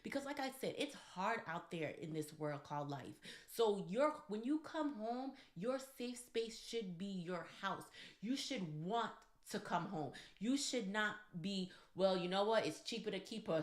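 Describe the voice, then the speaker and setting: Female podcast co-host, lower register, roomy echo, emphatic direct-to-audience relationship advice. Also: expressive, normal speed, few pauses. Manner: emphatic, addresses listeners directly. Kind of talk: conversational relationship advice talk. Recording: echoey, roomy sound.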